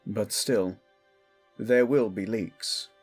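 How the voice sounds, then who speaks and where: A man's voice: lowish voice, extremely slow, a little mellow, breathy edge, faint music behind, exaggerated voice. A man playing a protective exiled prince standing in as king, firm, formal and weary, in a fantasy audio drama. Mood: guarded, weary. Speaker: voice actor.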